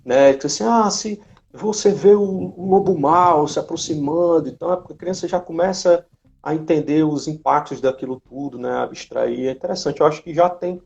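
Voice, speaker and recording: A Brazilian man hosts a casual live chat. His voice is fairly high for a man and noticeably creaky. The audio is a bit dull and lo-fi, as from an internet call. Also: normal speed, darkish tone. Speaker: live-stream host. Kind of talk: casual chat, reading audience questions and commenting.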